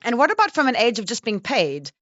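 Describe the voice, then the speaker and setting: A woman's voice: a little high, very animated, fast, a bit bright, no room sound. A woman, hosting an interview in a casual, informal and playful way. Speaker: interviewer, host. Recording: dry, slightly dull lo-fi sound.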